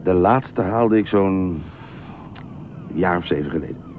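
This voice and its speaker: A man's voice: low voice, unhurried, narrow, tinny audio. An actor in a Dutch radio play voicing a reluctant, dryly patient stranger, terse and matter-of-fact in his answers. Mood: politely reluctant. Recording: noisy, thin band-limited old radio recording.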